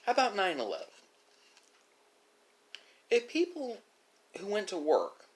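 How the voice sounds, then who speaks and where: A man delivering a reflective monologue, speaking philosophically with dramatic pauses and emphasis. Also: high voice, wildly swooping pitch. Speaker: video creator.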